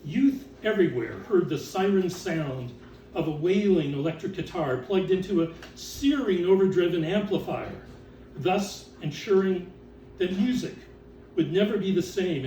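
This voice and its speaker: A man reciting written text as author and curator, in a theatrical, deliberate way with drawn-out emphasis. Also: sits high, lively intonation, mellow. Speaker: author and exhibition curator reading aloud. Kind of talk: dramatic recitation of catalog text to an audience. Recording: roomy, distant mic with background noise.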